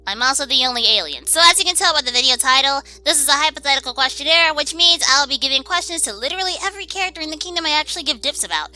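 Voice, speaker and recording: A woman's voice: high voice, bright, forceful tone, exaggerated voice. A woman playing an alien royal supervisor who hosts a cartoon skit, presenting in a chatty, informal way. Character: brisk alien royal supervisor introducing her kingdom. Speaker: host. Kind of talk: scripted presenting in hobby animated skit.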